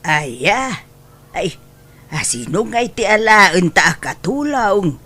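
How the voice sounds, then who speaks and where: Woman playing a weary, slow-talking older mother. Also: mid-range voice, sing-song, bright, forceful tone, slightly rough, full character voice. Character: weary older mother and aunt, homely and complaining. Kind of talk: Ilocano comedy drama acting.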